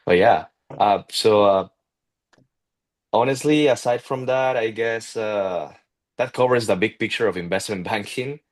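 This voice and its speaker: A man in his twenties with a heavy Peruvian accent, talking casually as a guest, halting with many fillers and restarts. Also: fairly low pitch. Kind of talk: casual interview talk.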